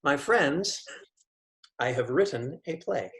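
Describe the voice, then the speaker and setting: Pompous, halting male professor. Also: a little high, animated, fairly bright tone, exaggerated voice. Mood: grandiose. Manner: halting, theatrical, stammering when challenged. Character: pompous, thin-skinned male professor and would-be playwright. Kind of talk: scripted comedy acting on a video call.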